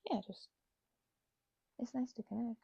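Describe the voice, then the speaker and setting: Woman acting as weary but playful roommate girl, asmr roleplay, soft raspy voice, super dry close mic, kinda rambly and hesitant. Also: on the high side, fairly even intonation, a little slow, dry recording, lo-fi sound, heavily acted. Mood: soft, tired, tender. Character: chatty, supportive female housemate, weary from a migraine. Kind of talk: intimate ASMR roleplay, casual conversational delivery. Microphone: close microphone, dry.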